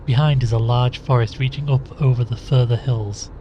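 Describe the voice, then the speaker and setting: An actor playing an earnest young male investigator in an audio drama, narrating and questioning in a measured, deliberate, inquisitive way. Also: medium pitch, fairly even intonation, dull audio, a little hiss or hum, close to an everyday voice. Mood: observant.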